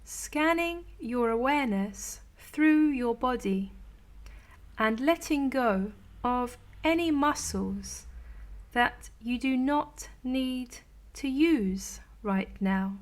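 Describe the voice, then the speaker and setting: A woman leading a guided meditation in a soothing, gentle, unhurried manner with long pauses between phrases. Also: sits high, expressive. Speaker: meditation teacher.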